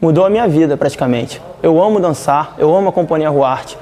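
A man's voice: fairly high pitch, fairly quick, mellow. A man in his late twenties with a Brazilian accent, a dance teacher speaking enthusiastically in an interview. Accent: Brazilian Portuguese. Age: 20s. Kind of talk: documentary interview. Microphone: lapel.

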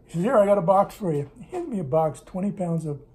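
An older man speaks with a mellow, slightly high voice at a kitchen table. He rambles warmly through memories, stopping to fix words midway. The camera sits on the table close in front of him. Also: sing-song, fast talker. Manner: rambling, self-correcting storyteller. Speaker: interviewee recalling business in Russia. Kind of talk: casual storytelling interview. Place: home kitchen table, indoors.

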